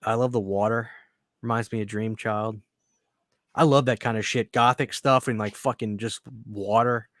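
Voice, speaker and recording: Man, 30s, podcast co-host. Excitable, profane, muttering asides. Dry, close sound. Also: normal speed, no echo. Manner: excitable, swears a lot, drops to low mutters. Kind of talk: casual chat.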